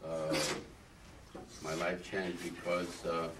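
A man in his eighties from Czechoslovakia, speaking slowly with many pauses in a recorded oral history interview in a classroom. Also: deep voice, little pitch movement. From Carpathian Ruthenia, then Czechoslovakia. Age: older; 80s. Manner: slow and halting, many "uh" fillers. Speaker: interviewee, Holocaust survivor. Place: small classroom, indoors. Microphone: far camera. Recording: roomy echo, distant mic, faint hiss.

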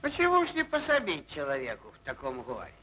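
An actor playing a hearty, boastful Cossack traveler in an old cartoon, with a teasing, folksy, exclamatory delivery. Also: male voice, on the high side, huge pitch swings, fast, bright, forceful tone, a little hiss or hum, full character voice. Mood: hearty bravado. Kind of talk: 1940s animated film voice acting. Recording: muffled 1940s film soundtrack.

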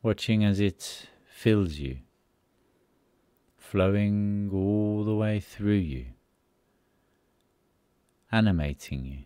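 Guy doing a sleep meditation, really deep mellow voice, soothing short phrases with pauses, dry close sound. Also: smooth, dry recording. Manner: soothing, unhurried, pausing between short phrases. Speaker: meditation guide.

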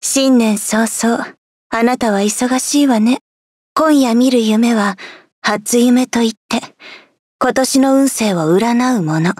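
Woman as an aloof, slightly high-voiced nightmare fairy. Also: moderate pace, a little mellow, no echo, clean studio sound, lightly acted. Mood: cool. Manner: composed, aloof, reserved. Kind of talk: Japanese anime-style game voice acting.

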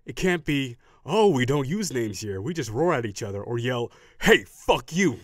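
A man voicing a proud, gruff tsundere dragon warrior in an audio roleplay, brusque and defensive with grudging sighs. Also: medium pitch, very animated, normal speed, no room sound, studio quality. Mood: indignant, defensive.

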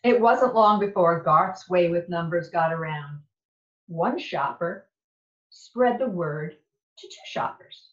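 A woman reading a children's picture book aloud in an expressive, playful and deliberate way. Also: lowish voice. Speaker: storybook reader. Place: indoor room at home. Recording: echoey room, dull lo-fi sound.

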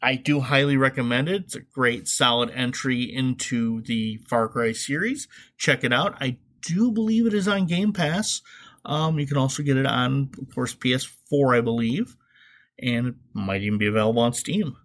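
A man's voice: mid-range voice, normal speed, fairly bright tone. A man hosting a podcast, giving comedic, opinionated commentary in a sarcastic way with emphatic asides. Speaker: podcast host.

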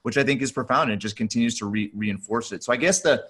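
A middle-aged man hosting a conversational podcast interview over video call, speaking haltingly and rephrasing as he goes. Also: mid-range voice, fairly bright tone, slightly rough. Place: small furnished room at home or office, on a video call.

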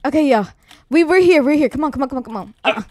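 A woman improvising a comic online game roleplay, bossy and excitable, talking in slang. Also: high voice, racing. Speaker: roleplay video player.

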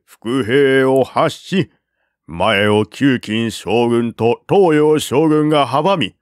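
A man's voice: mid-range voice, dry recording, studio quality. Man putting on a confident ancient war strategist, eager, storybook reading. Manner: animated, proposing and commanding. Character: bold male military strategist laying out an ambush.

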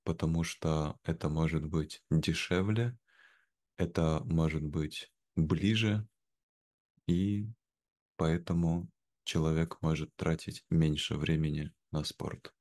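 A young man speaking in a measured, careful way, as a guest in a slow conversation for language learners. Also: very deep voice, fairly even intonation, darkish tone. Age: young adult. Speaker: interview guest. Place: indoor room at home, video call. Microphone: video call, wearing headphones.

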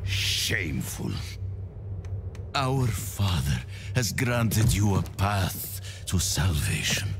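A man playing a stern holy preacher who denounces sinners in a slow, accusatory sermon, acted for a video game cutscene. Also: low voice, very animated, exaggerated voice. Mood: condemning. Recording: music behind the voice.

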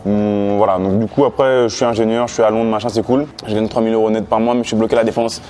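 A man in his thirties from the Paris suburbs, telling his life story in a relaxed, slangy interview full of fillers, seated in a lounge. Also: on the low side, quick pace, a little hiss or hum. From France, Paris suburbs. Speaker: guest entrepreneur. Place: hotel lounge indoors, seated on sofa.

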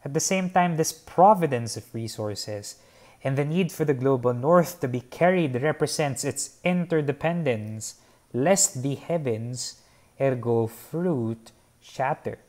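A man's voice: medium pitch, darkish tone. Young guy from the philippines explaining his painting, earnest and formal, sitting out on a patio. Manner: measured, earnest, formal wording. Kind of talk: reflective artist talk. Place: covered patio by a garden, outdoors.

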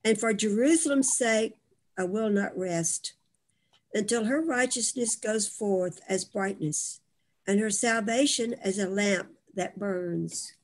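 An older woman reciting scripture and prayer in a solemn, measured way over a video call from home. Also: medium pitch. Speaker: prayer group member. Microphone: video call device mic.

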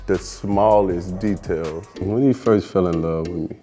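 A man's voice: deep voice, measured pace, dark tone, soft background music. A young man, teasing his partner and laughing often during a casual couple's quiz game in a studio. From Louisiana, USA. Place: indoor pink-walled studio, seated.

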